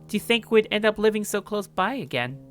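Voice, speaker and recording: Man putting on a high-pitched, pushy young woman. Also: animated, brisk, fairly bright tone, faint music behind. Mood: friendly, prying. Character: cheerful, pushy, creepy young woman neighbour. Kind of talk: visual novel character read-aloud.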